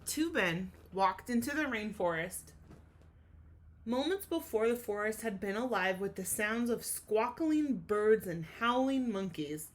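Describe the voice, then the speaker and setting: A woman teacher reading a storybook aloud to children in an enthusiastic, expressive way, speaking directly to her young listeners with questions and explanations. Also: mid-range voice, unhurried.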